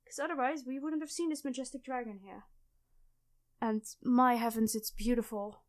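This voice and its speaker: A woman's voice: a little high, fairly even intonation, normal speed, lightly acted. A woman playing a clumsy, nerdy classmate who stammers and rambles apologetically in an ASMR roleplay. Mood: soft wonder. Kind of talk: ASMR audio roleplay addressed to the listener.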